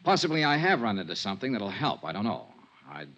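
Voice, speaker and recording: A man's voice: on the low side, big pitch swings, quick pace, a bit bright. An American man acting in an old radio drama as a shrewd, unflappable insurance investigator, with a clipped, wry, businesslike delivery. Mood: cool, probing. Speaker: radio actor playing lead detective. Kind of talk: 1950s radio detective drama acting, first-person narration. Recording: muffled, noisy 1950s radio recording.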